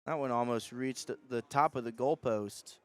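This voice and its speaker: A man calling live high school football as a radio play-by-play announcer. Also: normal speed, clean tone.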